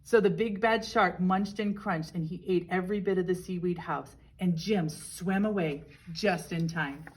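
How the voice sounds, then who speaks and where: A woman's voice: on the low side, normal speed, low-quality recording. A woman reading a children's story aloud like a teacher, expressively and with chatty asides.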